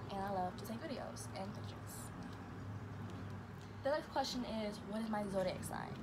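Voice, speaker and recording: A young woman from Chicago who talks fast and stutters, reading get-to-know-me questions aloud and answering them in a casual vlog. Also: mid-range voice. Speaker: vlogger. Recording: noisy room ambience.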